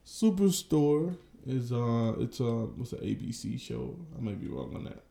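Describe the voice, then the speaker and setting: Male podcast co-host, casual and jokey, mid pitch, roomy echo. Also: sing-song, normal speed, gravelly, dark tone. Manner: joking, laid-back, lots of "uh". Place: indoor room, across from co-host. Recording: echoey room.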